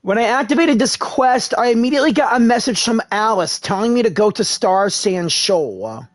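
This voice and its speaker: A man's voice: high voice, expressive, normal speed, no echo, clean studio sound. A man hosting a gaming video with casual, rambling commentary that often corrects itself.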